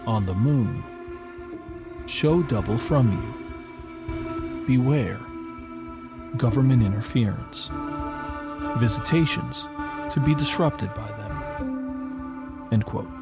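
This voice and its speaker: Guy narrating a story real slow and careful, music playing behind. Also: medium pitch, vocal fry. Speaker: podcast host. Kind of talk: scripted narration.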